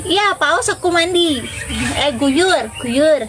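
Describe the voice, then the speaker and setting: A woman's voice: extremely high pitch, lively intonation, slow pace. A woman chatting casually and informally with viewers as the host of a live stream. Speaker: livestream host.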